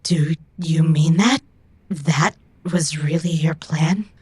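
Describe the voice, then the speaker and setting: A woman playing a female robot in a cyberpunk audio drama, speaking in a halting, literal, stubborn way. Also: low voice, darkish tone, slightly rough, full character voice. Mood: doubtful. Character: female robot with slow, literal, stubborn manner, discovering feelings.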